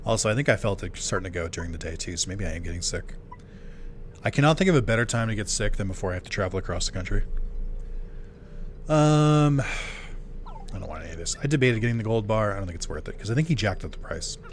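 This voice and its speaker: A man's voice: lowish voice, lively intonation, fast, slight background noise. Chatty male streamer losing his voice. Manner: rambling, chatty, with many fillers and asides. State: voice going hoarse. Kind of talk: casual live-stream chat while gaming.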